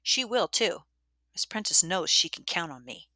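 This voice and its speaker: A woman voicing a pompous, scheming schoolgirl in a shrill, emphatic storybook voice. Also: very animated, normal speed, bright, forceful tone, no echo, studio quality, full character voice. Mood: pompous, eager.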